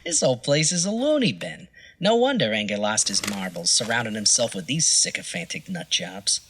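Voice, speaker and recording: An actor playing a cynical, scornful and mercenary film critic in a horror audio drama. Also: male voice, medium pitch, wildly swooping pitch, normal speed, gravelly, a bit bright, exaggerated voice. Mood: sneering. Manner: sneering, sarcastic. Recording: very noisy background.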